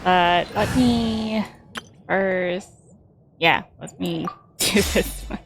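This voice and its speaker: A woman's voice: lowish voice, extremely slow, vocal fry, background noise. A woman giving casual, giggly and reactive commentary as she streams a video game.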